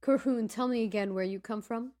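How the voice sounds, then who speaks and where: A woman voicing a wary, curious female adventurer in a tabletop game, with formal, deliberate questioning. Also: a little high, warm tone, lightly acted. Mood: probing.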